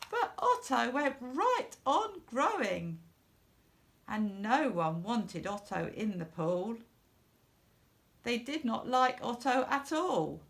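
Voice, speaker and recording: A woman narrates a children's storybook at a slow, deliberate pace. Her voice has a noticeable creak, and she stresses phrases expressively for young listeners. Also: mid-range voice, fairly bright tone. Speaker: storybook reader.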